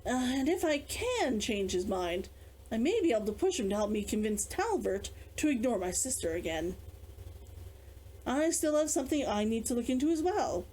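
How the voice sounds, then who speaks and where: A woman narrator gives voice to a guarded, stubborn young woman in a fantasy storybook. She muses and hesitates, in a fairly high voice with very varied intonation. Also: big pitch swings, normal speed, darkish tone, poor audio. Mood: determined. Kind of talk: character dialogue in audiobook reading.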